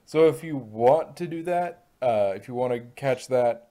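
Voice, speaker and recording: Casual male co-host, medium pitch. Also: lively intonation, measured pace, dark tone. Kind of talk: casual chat.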